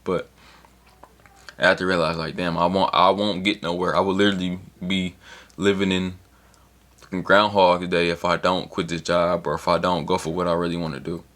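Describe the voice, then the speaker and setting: A man's voice: low-pitched, flat delivery, moderate pace, a bit bright. A man in his early twenties giving a loose, rambling motivational talk in slang, with casual swearing, as a solo vlogger. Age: 20s.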